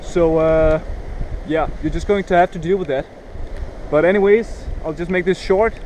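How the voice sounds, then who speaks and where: A man's voice: on the high side, normal speed, a little mellow, poor audio. A young man with a Danish accent talks loosely to the camera outdoors, joking and hesitating with fillers. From Denmark. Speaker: vlogger. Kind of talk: casual talk to camera. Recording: faint outdoor noise.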